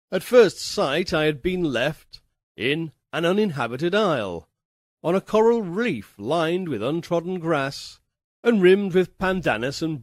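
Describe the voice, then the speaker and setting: A man narrating a literary first-person story as an audiobook reader, with a measured, theatrical, formal delivery. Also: fairly high pitch, no echo, clean studio sound.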